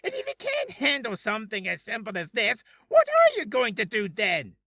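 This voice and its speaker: A man voicing a bossy, short-tempered ventriloquist's dummy with a loud, scolding manner, for a character reel. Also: high-pitched, wildly swooping pitch, normal speed, gravelly, no echo, studio quality, full character voice. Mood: scornful.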